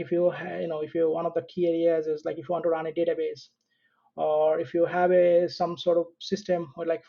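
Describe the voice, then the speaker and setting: A man with a Bangladeshi accent speaking casually as an interview guest, halting and correcting himself as he goes. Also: on the high side, vocal fry. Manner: halting, conversational, frequent self-corrections. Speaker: interview guest, software engineer and founder. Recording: dull, lo-fi remote connection.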